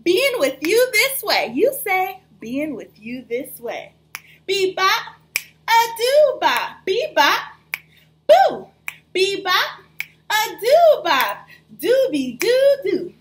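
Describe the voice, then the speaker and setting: A young woman teacher reading aloud to small children in a rhythmic, sing-song rap, animated and encouraging. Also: extremely high pitch, takes it slowly. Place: small plain room indoors. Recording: faint background noise.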